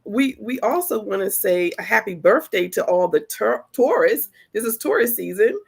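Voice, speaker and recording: A woman hosts a podcast in a casual, chatty way. Her voice sounds a little congested from the flu, and she talks with animated energy. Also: mid-range voice, normal speed, vocal fry, husky. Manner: chatty, animated storytelling with laughs. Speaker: podcast host.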